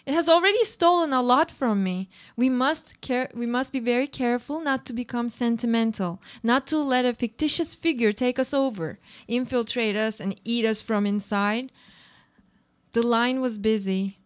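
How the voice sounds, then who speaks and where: A high female voice carries an actress's portrayal of an anguished woman, obsessive and haunted. The delivery is theatrical and inward-looking, a brooding monologue from a live radio play. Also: moderate pace, lightly acted. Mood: anxious, wary.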